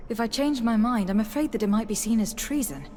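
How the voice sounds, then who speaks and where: A woman's voice: fairly high pitch, fairly quick, a little mellow, slight background noise, lightly acted. An actress playing a composed, diplomatic noblewoman envoy in a fantasy video game. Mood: pensive. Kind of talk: scripted fantasy game dialogue. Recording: game music behind the voice. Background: game music.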